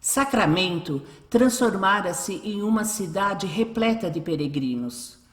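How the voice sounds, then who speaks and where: A woman's voice: mid-range voice, expressive, unhurried. A woman with a Brazilian accent reading scripted narration for a radio drama. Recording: roomy echo, music behind.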